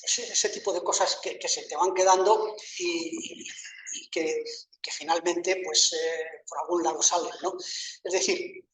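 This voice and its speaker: A man's voice: fairly high pitch, steady tone, unhurried, a bit bright, slight background noise. Older male professor, hesitant, echoey room. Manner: thoughtful, hesitant with many fillers and restarts. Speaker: university professor. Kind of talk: panel talk answering a question. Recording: echoey room, mic at a distance.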